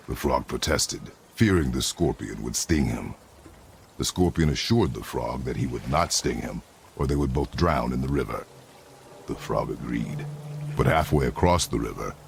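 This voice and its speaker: Casting: stern warrior father in a game drama, extremely low gravelly register, deadpan and formal, faint noise behind. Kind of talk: dramatic video game acting.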